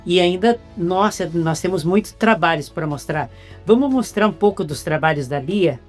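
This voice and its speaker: A Brazilian woman hosts a casual interview with a notably low voice. She talks at length, stringing ideas together. Light background music plays. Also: animated, moderate pace. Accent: Brazilian Portuguese. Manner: talkative, lists ideas at length. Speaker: interview host.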